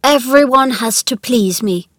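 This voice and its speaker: A sickly young storybook boy, acted out by a woman narrator, who is imperious and fretful. He speaks in a demanding, self-pitying way, pitched up, with a very dry sound around him. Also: unhurried, no room sound. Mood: imperious, bitter.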